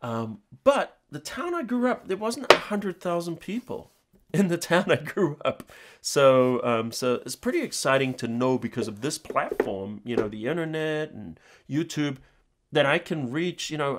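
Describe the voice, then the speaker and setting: A man's voice: fairly high pitch, sing-song, moderate pace, background noise. Casual New man talking to viewers. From New Zealand. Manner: informal, rambling, addressing viewers directly. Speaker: tutorial channel host.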